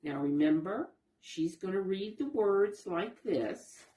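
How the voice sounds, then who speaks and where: Brief: female children's storyteller, mellow and very unhurried, patient explanations, simple questions, roomy sound. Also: mid-range voice, expressive. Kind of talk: children's read-aloud lesson, addressing young listeners.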